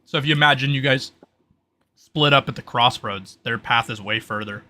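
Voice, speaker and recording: A man's voice: medium pitch, moderate pace, sharp tone. A man running a tabletop roleplay game, explaining things casually and thinking aloud in a rambling, informal way. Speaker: game master.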